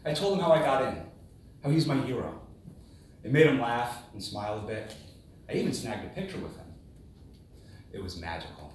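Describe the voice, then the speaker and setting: Guy doing a rehearsed funny tall tale speech, super animated and theatrical, really echoey, mic kinda far off. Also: mid-range voice, a little hiss or hum. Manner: theatrical, dramatic pauses and exclamations. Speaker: contest speaker. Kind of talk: rehearsed humorous storytelling speech. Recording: very echoey room, distant microphone.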